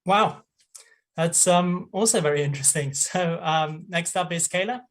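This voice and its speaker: A man's voice: fairly high pitch, normal speed. A man hosting a live online science broadcast, introducing his colleagues with fillers and small laughs. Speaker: host.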